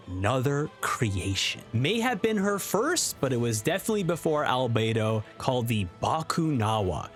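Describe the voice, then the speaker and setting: Effusive male reviewer with very animated pitch. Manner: effusive, emphatic. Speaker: video game reviewer. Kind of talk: enthusiastic review commentary.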